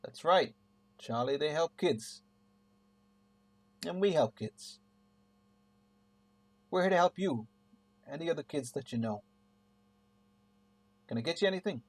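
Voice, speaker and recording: A man's voice: on the high side, expressive, unhurried, pauses often. A man playing a grim, weary police detective in a radio play, speaking in dramatic dialogue. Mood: gentle, careful. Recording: clear hum and background noise.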